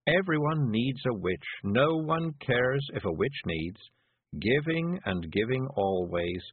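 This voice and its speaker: A man's voice: mid-range voice, animated, slow pace, breathy edge, no room sound, heavily acted. A man voicing a dazed, weary older woman witch who speaks faintly and dreamily. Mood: dreamy. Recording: dry tinny phone-like sound.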